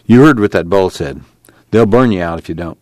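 Wary migrant farm man, hushed and urgent, read by a man, low, dry. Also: expressive, fairly quick, dry recording. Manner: folksy rural speech, hushed and urgent. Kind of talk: reading a novel aloud.

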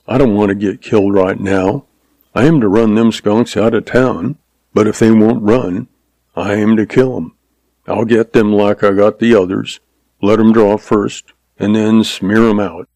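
Weary Western gunslinger, as a male reader plays him, storybook, hard level voice, laconic, gravelly, dark-toned. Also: medium pitch, measured pace, no echo. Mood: grim, level. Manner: dry, laconic cowboy drawl wording. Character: hardened, weary, dry-spoken Western gunfighter from Arizona.

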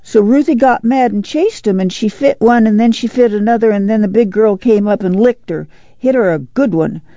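A woman's voice: medium pitch, a little slow, gravelly, dark tone, dry recording, dull audio. A woman voicing an eager, anxious little farm boy in a storybook, rambling breathlessly. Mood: breathless, excited. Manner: breathless, rambling rural dialect.

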